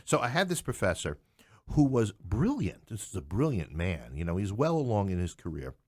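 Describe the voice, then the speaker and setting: Deep-voiced middle-aged man telling stories. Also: big pitch swings, moderate pace, a little mellow, no echo, clean studio sound. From New York City. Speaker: podcast guest, English professor. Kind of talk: conversational interview, anecdotes.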